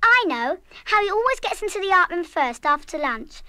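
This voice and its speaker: A woman's voice: extremely high pitch, very animated, normal speed, pushed and bright, no echo, studio quality, full character voice. An actress playing a headstrong, mischievous English schoolgirl in a radio play, plucky and well-spoken. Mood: scheming. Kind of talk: full-cast children's radio play acting.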